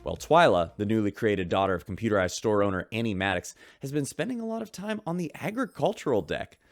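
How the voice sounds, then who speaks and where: A chatty station host in a sci-fi radio play. His voice is lowish and bouncy, dry, with faint music under him. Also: animated, fast talker, dry recording. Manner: chatty, showman-like. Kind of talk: radio news broadcast.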